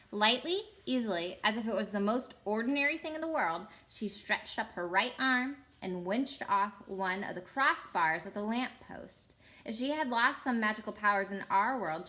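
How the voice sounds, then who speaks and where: Bright, animated woman reading a story. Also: mid-range voice. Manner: dramatic, emphatic. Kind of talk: expressive children's audiobook narration.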